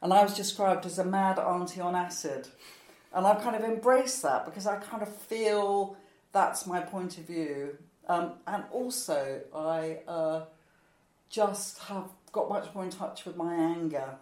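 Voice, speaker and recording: Sixties comedian lady, lowish voice, rambly and self-mocking, roomy echo. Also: unhurried, a little mellow. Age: 60s. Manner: chatty, rambling, self-mocking, laughs often. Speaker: stand-up comedian. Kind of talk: relaxed interview answers.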